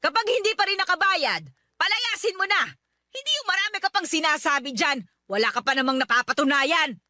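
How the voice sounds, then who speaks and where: A woman's voice: very high voice, lively intonation, a little fast, pushed and bright, dry recording, exaggerated voice. A woman acting a domineering older mother in a radio drama, scolding and bossy as she threatens.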